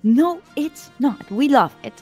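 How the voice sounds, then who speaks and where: A woman's voice: sits high, big pitch swings, measured pace, a little mellow, faint music behind. A woman guest in a casual online chat, giggly and enthusiastic. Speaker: co-host guest.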